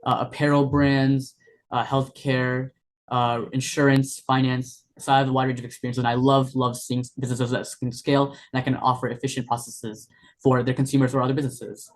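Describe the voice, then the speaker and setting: A man's voice: medium pitch, brisk. A young man from Florida, a university student guest who introduces himself with uneven pacing and some fillers. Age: young adult. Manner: flat delivery, uneven pace, with fillers. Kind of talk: self-introduction in an interview.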